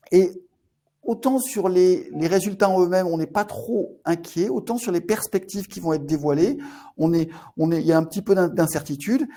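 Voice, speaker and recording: A man with a faint Marseille accent talks through investment risks on a webinar. His voice sits a little high but sounds soft and mellow. He stumbles often, repeating little words before he finds the next thought. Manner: halting, many restarts and repeated words. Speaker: fund manager. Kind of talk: webinar market commentary.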